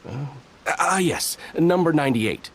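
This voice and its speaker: A man's voice: a little high, expressive, unhurried. A man voicing a dutiful, rule-bound soldier in an anime video game, formal and precise. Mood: earnest, official. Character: dutiful, officious male soldier, stickler for rules.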